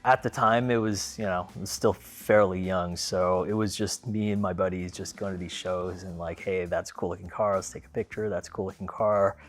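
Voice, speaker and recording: A man's voice: low voice, fairly even intonation, normal speed, hardly any pauses. A man in his thirties, born in the Philippines and raised in Los Angeles, casually telling the story of his car, rambling with many fillers and restarts. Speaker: car owner.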